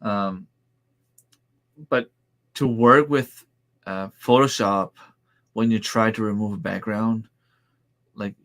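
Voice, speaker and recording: French Canadian man, 30s, native French speaker; slow, relaxed livestream host, hesitant and friendly with chat. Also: fairly low pitch. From Northern New Brunswick, Canada. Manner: easygoing, hesitant, many fillers and restarts. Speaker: farm channel host. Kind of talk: casual livestream chat, answering viewer comments.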